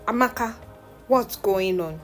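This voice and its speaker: Female narrator as doubting rich young man, slow, hesitant, serious, for a folktale drama. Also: mid-range voice, faint music behind, voice pushed down, close to an everyday voice. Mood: wavering doubt.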